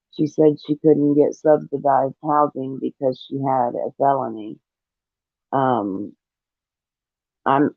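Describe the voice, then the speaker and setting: Slow gravelly man, phone-like sound. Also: medium pitch, no echo. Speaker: panel host reading viewer comments. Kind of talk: casual commentary. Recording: tinny phone-like band.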